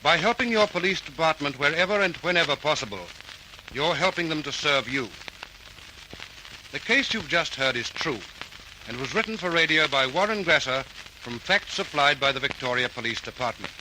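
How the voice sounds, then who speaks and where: A man narrates a radio crime series in a very bright, forceful and fairly high voice. His delivery is formal, earnest and measured. There is faint hiss of an old radio recording. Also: lively intonation, rough recording.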